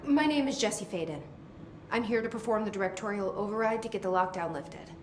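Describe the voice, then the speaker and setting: An actress playing a determined young woman, newly made director, who speaks in a calm, dry and understated way. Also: medium pitch, a little fast, slight background noise, lightly acted.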